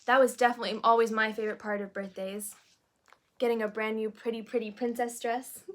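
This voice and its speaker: High-voiced woman reading a children's storybook. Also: a little fast. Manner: expressive, with asides to young listeners. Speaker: storyteller reading a children's book aloud in character as a princess.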